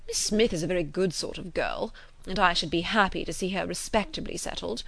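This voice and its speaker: Ardent gentleman suitor for a period audiobook, played by a woman: effusive, self-important, easily affronted, dry close sound. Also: on the low side, fairly quick, creaky, dry recording. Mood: affronted, haughty. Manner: effusive, pompous, insinuating. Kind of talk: dramatised classic novel reading.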